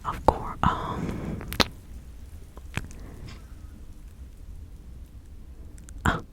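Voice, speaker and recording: A woman's voice: slow pace, natural voice. Whispering reclusive witch played by a woman. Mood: musing. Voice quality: breathy whisper. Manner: confiding, rambling, conversational. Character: reclusive 1600s village witch, gossipy, widowed, cautious fortune teller. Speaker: ASMR performer. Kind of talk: whispered ASMR roleplay.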